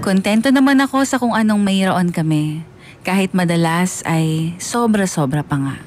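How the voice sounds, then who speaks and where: A woman's voice: lowish voice, expressive, normal speed, a little mellow, soft background music, natural voice. A woman voicing a grown son reflectively narrating a life story in a scripted radio drama, sentimental in manner. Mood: fond. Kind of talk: scripted first-person narration.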